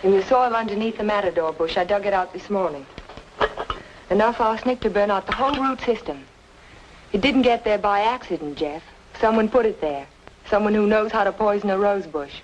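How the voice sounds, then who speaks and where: A woman's voice: medium pitch, fairly quick, a little hiss or hum, natural voice, poor audio. A woman playing a determined, lovelorn young amateur sleuth in an old-time radio noir drama, speaking earnestly and insistently. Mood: urgent, certain.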